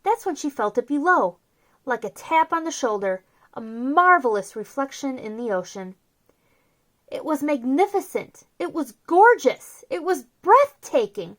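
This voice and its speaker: Female picture-book narrator, bright-pitched and very lively in intonation, expressive and theatrical. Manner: theatrical, drawn-out emphasis on key words. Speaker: children's storyteller, host.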